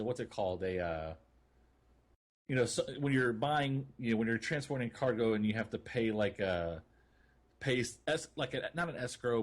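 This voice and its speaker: A man co-hosting a gaming podcast in casual conversation, explaining things in a rambling, halting way. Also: medium pitch. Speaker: podcast co-host. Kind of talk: casual chat about a video game.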